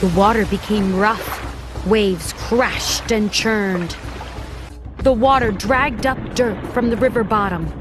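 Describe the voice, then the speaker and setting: A woman's voice: medium pitch, slow pace. A woman reading scripted narration for a children's story. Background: music.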